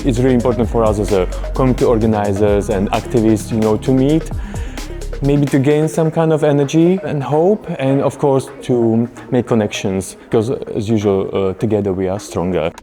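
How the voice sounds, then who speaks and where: A man's voice: medium pitch, fairly even intonation, normal speed, over music. A man giving an interview testimonial as a community organizer and activist.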